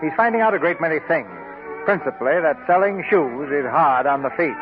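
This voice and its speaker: A man's voice: fairly high pitch, animated, brisk. A man reading scripted narration as announcer of a 1940s radio drama. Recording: tinny old radio, music behind.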